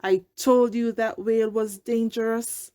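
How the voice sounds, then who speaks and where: A woman's voice: fairly high pitch, steady tone, unhurried, warm tone, lightly acted. A woman voicing an irritable, suspicious male fish in a children's storybook, urgent and accusing. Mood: wary.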